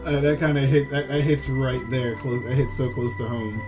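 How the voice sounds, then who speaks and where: A man hosting a visual novel let's play, reading aloud and commenting in a halting way with repeated phrases, over game music. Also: medium pitch, steady tone. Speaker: gaming video host. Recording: music behind the voice.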